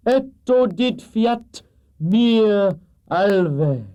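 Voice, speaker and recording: A man's voice: high-pitched, animated, warm tone, smooth, highs cut off, pitched above the performer's own voice, full character voice. A man voicing a commanding evil witch in a children's storybook, with a harsh, droning, imperious delivery. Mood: ritual command. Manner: slow, imperious incantation. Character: commanding evil witch woman chanting dark spells.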